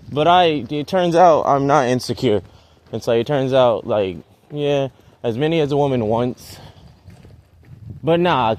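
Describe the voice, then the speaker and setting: A man's voice: a little high, a little mellow, a little hiss or hum. A man, rambling restlessly in a vlog while out in a residential neighborhood, often trailing off. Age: 20s. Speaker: vlogger.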